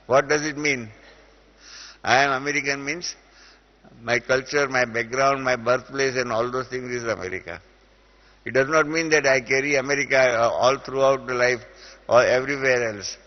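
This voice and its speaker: A man's voice: medium pitch, moderate pace. A middle-aged Indian man teaches a spiritual class in a large hall. His voice carries a long echo, and the recording sounds dull and lo-fi. He explains patiently, stopping often on "uh" between thoughts. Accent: Indian English. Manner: explains through everyday examples, with many "uh" fillers.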